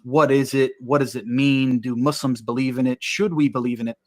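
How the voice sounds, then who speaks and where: A man giving a prepared video lecture, laying out his points in order and explaining them in an even way. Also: mid-range voice, brisk, a crowd in the background. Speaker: online lecturer. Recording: video played back over a stream.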